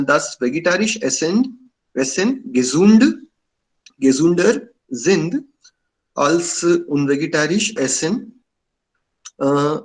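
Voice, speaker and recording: A man from India talks slowly in a non-native tongue. He stops often and fills the gaps with pauses. His voice sits somewhat high for a man. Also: rough recording. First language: Indian language. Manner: halting, many fillers. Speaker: club member sharing views. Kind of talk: hesitant casual talk in learner German.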